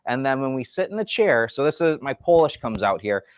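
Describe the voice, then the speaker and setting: This is a man in the middle of an upbeat product demonstration, talking folksily about comfort and posture. His voice sits in an ordinary pitch range, and the sound is dry and close with little room around it. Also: big pitch swings, brisk, no room sound. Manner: enthusiastic, explanatory, folksy. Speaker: furniture company representative.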